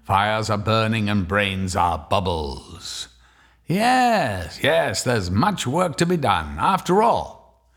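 Man voicing a brooding mad scientist, very deep, slow, swooping chant-like mutter, soft music behind. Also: sing-song, heavily acted. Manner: slow, lilting incantation-like muttering. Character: muttering male mad scientist, brooding over his work. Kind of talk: radio drama acting.

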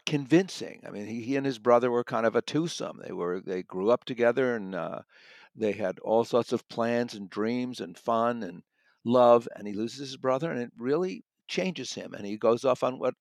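A man speaking as a guest book critic in conversation, somewhat halting, rephrasing and repeating words for emphasis. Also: medium pitch, vocal fry, dry recording, clean studio sound.

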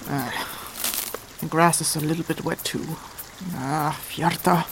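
A woman's voice: deep voice, steady tone, noisy background. A woman acting a practical, commanding but caring rune-wielding traveller in a fantasy audio drama. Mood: muttering, grumbling. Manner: brisk, commanding.

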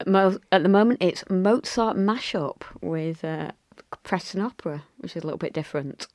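Female guest, conductor; low, dry, enthusiastic rambling chat. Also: fairly even intonation, moderate pace, a little mellow, no echo. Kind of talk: casual chat. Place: radio studio.

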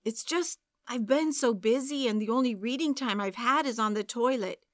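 A woman's voice: on the high side, moderate pace, dry recording, clean studio sound, pitched above the performer's own voice, lightly acted. A woman voicing a defensive young man who apologizes while brushing off complaints. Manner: apologetic then dismissive, wheedling excuses. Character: defensive young adult man, sheepish boyfriend.

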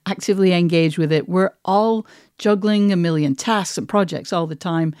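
A woman's voice: on the low side, normal speed, a little mellow, clear, resonant tone, no echo. British woman podcast host, close dry sound. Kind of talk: conversational podcast discussion.

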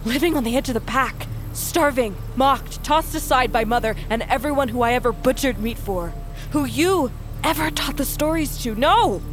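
Defiant outcast warrior woman, fantasy radio play, fairly high voice, earnest intensity, music behind. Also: animated, normal speed. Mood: defiant, impassioned. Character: defiant, proud young woman warrior, scorned by her pack. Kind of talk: immersive fantasy audio drama acting.

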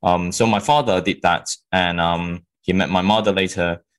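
Very low-voiced man, hesitant. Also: fairly even intonation, normal speed, slight background noise. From Hong Kong. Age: 20s. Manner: hesitant, many ums and restarts. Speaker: guest. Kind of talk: interview answers.

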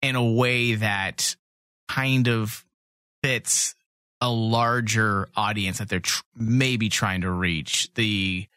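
A man's voice: on the low side, steady tone, slow pace, bright, forceful tone, smooth, dry recording, studio quality. A man chatting casually and opinionatedly as a fan podcast host, blunt and swearing freely.

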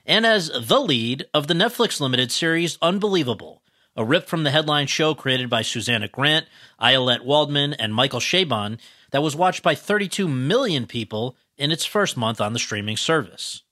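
A man's voice: fairly high pitch, animated, normal speed, bright, forceful tone, no echo, studio quality. A man hosting an awards podcast, reading a formal introduction and then asking interview questions. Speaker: podcast host.

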